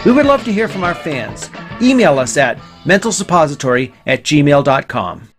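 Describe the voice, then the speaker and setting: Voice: medium pitch, big pitch swings, moderate pace, husky. An announcer reading scripted closing credits for a podcast. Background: loud guitar music.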